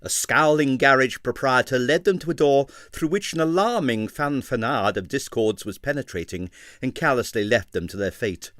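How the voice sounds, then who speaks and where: Expressive male audiobook narrator. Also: medium pitch, normal speed, dry recording, studio quality. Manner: expressive, character-voicing reader. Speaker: audiobook reader.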